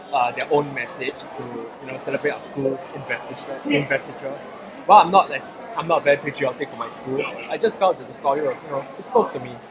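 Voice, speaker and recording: A man's voice: a little high, low-quality recording. A nineteen-year-old man with a Singaporean English accent, a poet answering interview questions casually and hesitantly, with many fillers and restarts. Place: food court, outdoors.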